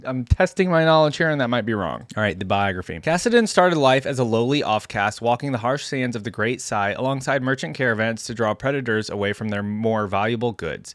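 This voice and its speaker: A man's voice: mid-range voice, no echo, studio quality. A man hosting a reaction video, mixing informal thinking-aloud commentary with reading text aloud. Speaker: reaction video host and gamer.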